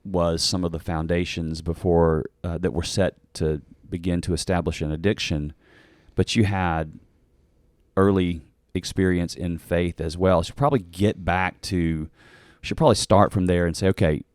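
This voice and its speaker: A deep-voiced man hosts an interview in a casual, guiding way. He prompts and clarifies with easy questions. The recording is dry and close. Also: steady tone, moderate pace, a little mellow, dry recording. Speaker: podcast host.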